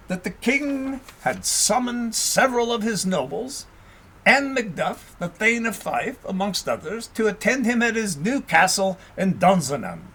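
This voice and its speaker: Male narrator for historical storybook audio: high register, very lively intonation, expressive measured read.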